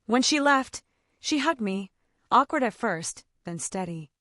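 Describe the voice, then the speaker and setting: A woman's voice: on the high side, animated, measured pace, sharp tone, dry recording, studio quality. A woman delivering dramatic first-person scripted narration for an audio story.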